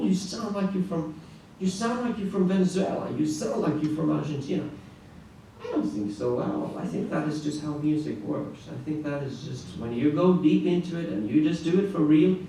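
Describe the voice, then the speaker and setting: A middle-aged man from Norway giving a conference talk as a classical guitarist, telling stories in an animated way with frequent restarts and "right?" asides. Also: medium pitch. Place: large echoey conference room. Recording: echoey room, distant mic, faint noise.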